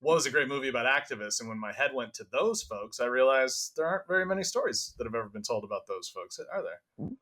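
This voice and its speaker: A male podcast co-host in casual debate, explaining his view earnestly. His voice is middling in pitch with a sharp, forceful brightness. He comes across as argumentative in an informal, conversational way. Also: expressive, fast talker.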